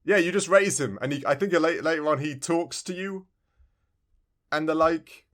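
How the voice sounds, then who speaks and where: A man's voice: fairly high pitch, normal speed, fairly bright tone. A man co-hosting a podcast, chatting casually about retro video games in a rambling, emphatically repetitive way. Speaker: podcast co-host.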